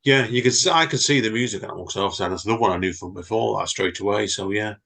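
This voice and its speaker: Husky low-voiced man. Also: rapid-fire, fairly bright tone. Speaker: podcast co-host. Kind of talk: casual chat and story reading.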